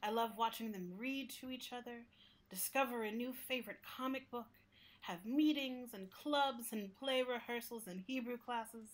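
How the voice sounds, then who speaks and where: A woman playing a talking library building in a radio play, gentle but fussy, with a confiding, exasperated manner. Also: a little high, normal speed, natural voice, poor audio. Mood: serene, candid. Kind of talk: radio play acting in interview form.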